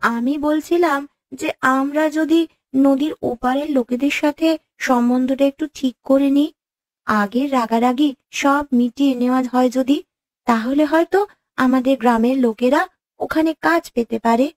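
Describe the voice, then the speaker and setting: A woman as a high-voiced earnest village girl. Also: fairly even intonation, normal speed, dry recording, close to an everyday voice. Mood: respectful, pleading. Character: polite, earnest village girl with bright ideas. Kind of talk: Bengali fairy-tale cartoon dialogue.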